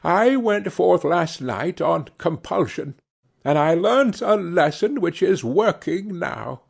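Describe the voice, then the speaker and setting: A man reading aloud puts on a humbled old miser, pitched up high and husky, pleading in a timid, submissive way. The voice rises and falls a great deal as he begs to be taught. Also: animated, slow pace, heavily acted.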